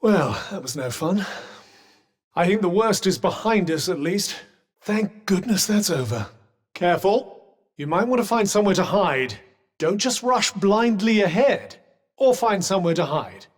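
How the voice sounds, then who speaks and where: An actor playing a haughty, well-spoken talking magic cuff in a video game, with a British accent and dry, sarcastic, formal delivery. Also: male voice, high-pitched, expressive, a little slow. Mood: measured, wry. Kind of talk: scripted video game companion dialogue.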